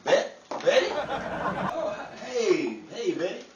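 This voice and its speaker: A man's voice: sits high, big pitch swings, takes it slowly, heavily acted. A man playing a cheeky, scheming 1930s New York hustler with slick, jokey patter, in screwball radio comedy style. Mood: playful, evasive. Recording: echoey, distant, noisy live room with crowd.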